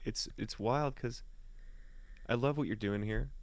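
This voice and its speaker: A man's voice: medium pitch, a little slow, no echo. A man who works as a musician and voice actor, talking casually and reflectively as an interview guest.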